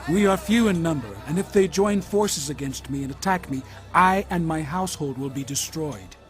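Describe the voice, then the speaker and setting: A slightly high male voice from an actor plays a troubled patriarch, reproachful and fearful for his household. The delivery is emphatic biblical audio drama over light music. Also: normal speed, natural voice. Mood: angry, worried.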